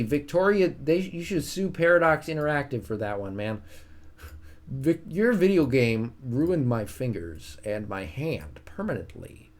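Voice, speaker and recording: A man with a soothing voice streaming a strategy game, casually chatting with his viewers and joking at his own expense. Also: a little high. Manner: chatty, self-mocking, reads and answers chat.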